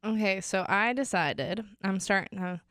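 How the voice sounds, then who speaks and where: An American woman co-hosting a casual sports podcast, a little sick and losing her voice, chatty and quick as she asks quiz questions. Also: mid-range voice, animated, a bit bright, no echo, studio quality. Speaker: co-host.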